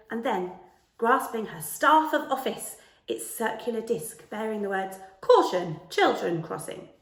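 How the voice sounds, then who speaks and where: A woman reads a children's book aloud in a fairly high voice. Her delivery is animated and theatrical, with careful phrasing. The recording has a roomy indoor echo. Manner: careful, dramatic storytelling delivery. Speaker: storyteller reading a children's book. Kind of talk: expressive read-aloud of a children's story. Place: small living room, indoors.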